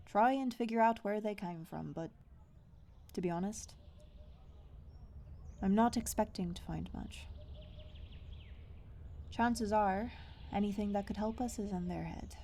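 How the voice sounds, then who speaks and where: A woman's voice: mid-range voice, measured pace, long pauses, dark tone, natural voice. A woman playing a guarded, stubborn dweller of the wilds in a fantasy audio roleplay, speaking in an earnest, naturalistic, hesitant way. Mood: uneasy.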